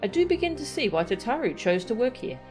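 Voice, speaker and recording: A woman's voice: on the high side, sing-song, quick pace, a little mellow. A woman streaming a game with casual, playful, chatty live commentary. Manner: playful, chatty, goofy sound effects. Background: faint game music.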